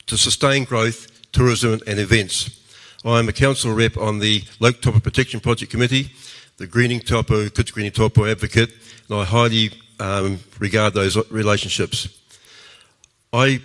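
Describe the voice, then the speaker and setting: Halting older New Zealand man giving a speech. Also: fairly low pitch, a bit bright, long reverb tail. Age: 60s. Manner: halting, with deliberate pauses and repeats. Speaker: council candidate. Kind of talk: public campaign speech.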